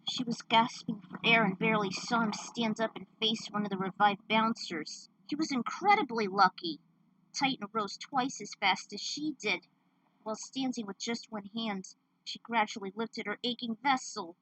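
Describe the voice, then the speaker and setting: Bright, forceful woman reading a story. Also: on the high side, moderate pace, dry recording, poor audio. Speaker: fan fiction narrator. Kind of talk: dramatic story read-aloud.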